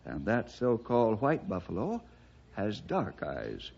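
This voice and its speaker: An actor playing a steady, devout investigator with an American accent and a measured, probing manner, in 1960s radio drama style. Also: male voice, fairly low pitch, vocal fry, breathy edge, full character voice. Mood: patient. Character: steady, principled man, devout leader and investigator. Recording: dull vintage radio recording.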